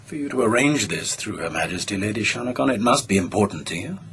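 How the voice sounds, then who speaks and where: An actor playing a haughty, disdainful aristocratic lord in a radio drama, speaking formally and with stiff indignation. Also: male voice, on the low side, expressive, fast, a bit bright, slight background noise.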